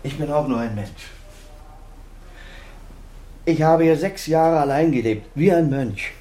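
A man's voice: fairly high pitch, moderate pace, dark tone, natural voice. A man acting in a naturalistic radio drama as a middle-aged doctor and father, defensive, self-justifying and pleading.

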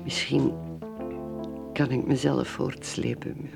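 An actress playing a weary, despairing alcoholic woman confessing haltingly in a radio drama. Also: a bass voice, little pitch movement, gravelly, heavily acted. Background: music.

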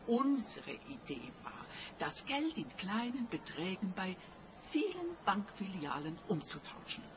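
An actress playing a cool, calculating older client in a radio play, composed and insistent. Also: female voice, medium pitch, sing-song, unhurried, noisy background. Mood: explaining, evasive. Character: cool, calculating older female client, a bank robber's wife.

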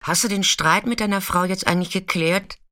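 Actress as bright-voiced, frank, streetwise sex worker. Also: female voice, mid-range voice, fairly quick, no room sound, studio quality, close to an everyday voice. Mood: chatty, familiar. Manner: colloquial, chatty, frank. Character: warm, chatty, streetwise female sex worker, caring and unshockable. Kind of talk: intimate radio drama monologue and narration.

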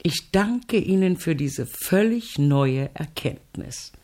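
A woman's voice: on the low side, lively intonation, slow pace, dark tone. A woman playing a spiteful, domineering novelist in her fifties in a radio play, speaking with condescending sarcasm and mocking familiarity. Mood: dry sarcasm. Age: 50s.

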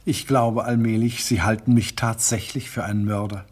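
An actor playing a smooth, coolly menacing art collector who murders, in a radio drama. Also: male voice, fairly low pitch, fairly even intonation, moderate pace. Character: suave murderous male art collector, villain.

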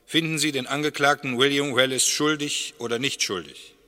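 A man's voice: medium pitch, fairly even intonation, moderate pace, sharp tone, natural voice. A male actor playing a formal court clerk who calls out questions to the jury in a German radio play. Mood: formal, proclaiming. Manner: formal, proclaiming. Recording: reverberant hall.

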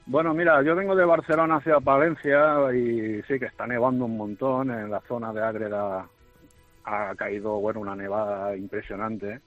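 Nervous Spanish trucker on a dull phone line. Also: male voice, fairly low pitch, little pitch movement, normal speed. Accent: Spain. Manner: emphatic, repetitive, plaintive. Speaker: truck driver calling in. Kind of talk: phone-in testimony. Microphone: phone call. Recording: dull radio phone line, faint music.

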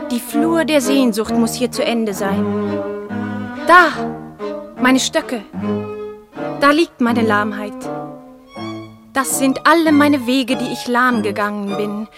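A lame woman in mourning, her voice high and loud. She declaims slowly and incantatorily, in the manner of poetic radio drama, with bowed strings playing behind her. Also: over music. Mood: anguished, loud. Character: lame woman in agitated, mourning ecstasy over her lost paths.

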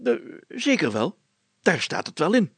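A man's voice: high voice, huge pitch swings, a little slow, creaky, a bit bright, breathy edge, no room sound, pitched above the performer's own voice, exaggerated voice. A man voicing a pompous, fussy, easily flustered mayor in a storybook, sputtering and tripping over self-important words. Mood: solemn, flustered. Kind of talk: storybook character acting.

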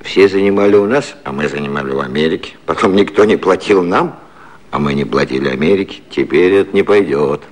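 A man's voice: low-pitched, a little fast. An older man acting an aggrieved, blustering elderly British prime minister in a theatrical 1950s satirical radio play. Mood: rueful. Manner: theatrical, grumbling, hesitating.

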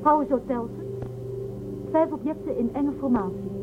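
High-voiced defiant spacewoman played by an actress. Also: normal speed, over loud music, lightly acted. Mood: alert, brisk. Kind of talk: radio drama acting. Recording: tinny phone-like sound.

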